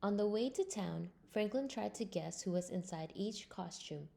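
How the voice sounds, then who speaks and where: A woman reading a scripted children's storybook aloud in an expressive way. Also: medium pitch, moderate pace, vocal fry. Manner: expressive, acts out character lines. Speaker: storybook reader.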